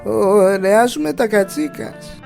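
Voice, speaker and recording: A woman voicing an excitable young character playing at seafaring in a children's cartoon, with a Pontic Greek accent. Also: mid-range voice, creaky, natural voice. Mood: playful, excited. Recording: voice under prominent music.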